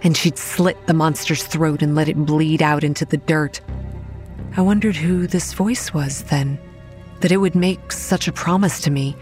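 A dazed young woman tells her own horror story in an audio drama. Her delivery is measured, introspective and haunted, with faint music underneath. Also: deep voice, fairly even intonation, a little mellow. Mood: brooding, wary. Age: young adult. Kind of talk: first-person horror drama narration.

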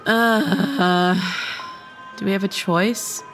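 A woman's voice: mid-range voice, very slow, a bit bright, soft background music, low-quality recording. A young woman acting a chatty, blunt college student who cares about her friend, in a casual, wry audio drama delivery. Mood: wry, teasing. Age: young adult. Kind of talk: dramatic dialogue in old time radio style audio play.

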